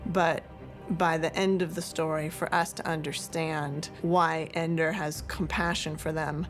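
A woman's voice: low voice, level tone, a little slow. A woman speaking in a behind-the-scenes interview. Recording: faint music behind the voice.

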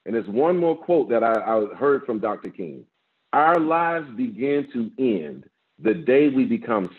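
A man in his fifties delivers a sermon over a video call, his strong, mid-pitched voice measured and building to emphatic peaks. The sound is thin and phone-like, as laptop call audio tends to be. He speaks from a small office. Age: 50s. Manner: measured preaching, rising with emphasis, repeats words. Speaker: guest pastor. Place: office with framed certificates, indoors. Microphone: video call, laptop camera.

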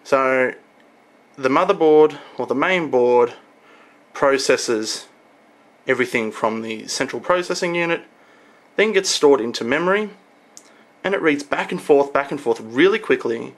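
A young man presents a casual tech showcase in a medium-pitched voice. His delivery is informal and explanatory, with halting phrasing, picked up by a camera microphone in a small room at home. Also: expressive. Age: young adult. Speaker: tech vlogger.

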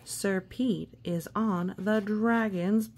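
A woman reading a children's storybook aloud expressively, with gentle asides and hushed, suspenseful phrasing. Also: medium pitch, slow. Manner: expressive, hushed suspense, often dropping to a whisper. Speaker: storybook reader.

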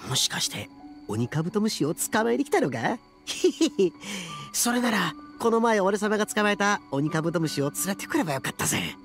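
A man playing a brash, playful young oni boss in a game, speaking in rough, cocky slang. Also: sits high, very animated, normal speed, a bit bright, soft background music, exaggerated voice. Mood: excited, boastful. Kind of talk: video game cutscene acting.

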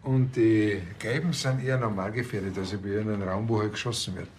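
A man's voice: on the low side, steady tone, quick pace, darkish tone, slight background noise, natural voice. An actor with a Bavarian accent playing a naive, earnest, bickering village policeman in colloquial speech. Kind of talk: acting in TV crime comedy.

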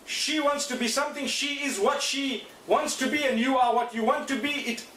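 A man giving a calm religious lecture, speaking softly into a desk mic in an indoor lecture room. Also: very high-pitched, moderate pace. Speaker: preacher in played clip. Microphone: desk mic on stand. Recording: echoey, distant, faint hiss.

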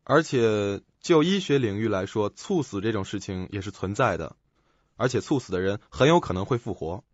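An actor playing a sober attendant who explains matters in a radio drama. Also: male voice, lowish voice, lively intonation, moderate pace, dry recording. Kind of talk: Mandarin radio drama acting. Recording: dull lo-fi recording.

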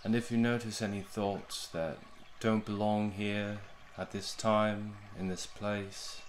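Male meditation guide, gentle and even-toned, very slow delivery, faint background noise with water sounds. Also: lowish voice. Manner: gentle, unhurried, with long pauses between short phrases. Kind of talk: guided meditation, soothing narration.

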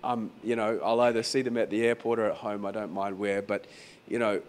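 Hoarse man preaching conversationally. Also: on the low side, level tone, a little fast. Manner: conversational, repeats phrases for emphasis. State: hoarse, croaky voice. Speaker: pastor. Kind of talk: sermon. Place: venue hall during a church service.

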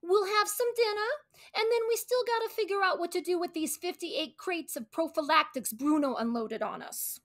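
A woman playing a sharp-tongued, nagging mob wife and mother in a comedic radio play. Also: very high-pitched, normal speed. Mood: scolding. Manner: sarcastic, nagging.

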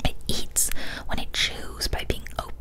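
A young woman reading a scary story as whispered ASMR, soft, breathy and deliberate, close to a studio microphone. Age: young adult. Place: small decorated indoor room. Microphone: large studio microphone, close up.

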